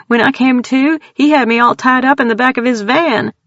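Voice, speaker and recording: A woman voicing a frightened, tearful young woman with an East Texas accent, talking in a high-strung, rapid way. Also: a bit bright, no echo. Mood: frantic. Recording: dull dry audio.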